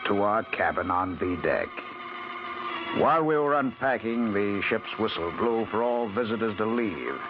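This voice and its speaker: An American actor playing a cold-blooded, persuasive gang leader in an old-time radio drama, emphatic and assured. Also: male voice, lowish voice, animated, unhurried, over music. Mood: matter-of-fact narration. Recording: muffled old radio recording with heavy noise.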